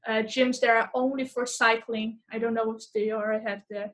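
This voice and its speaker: A woman's voice: a little high, level tone, fairly quick, a bit bright. A woman in her thirties from Brazil with a Brazilian accent, a fitness instructor talking as a guest over a call, often hesitant and repeating words as she searches for English. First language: Portuguese. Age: 30s. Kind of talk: casual interview chat.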